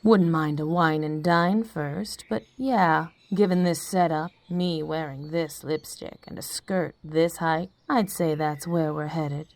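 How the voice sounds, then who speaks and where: A woman's voice: low voice, fairly even intonation, slow, few pauses, a little mellow. A woman playing a wry, flirtatious, mysterious femme fatale in a parody of a 1940s radio detective play. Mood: troubled, wry. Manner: wry, arch, suggestive.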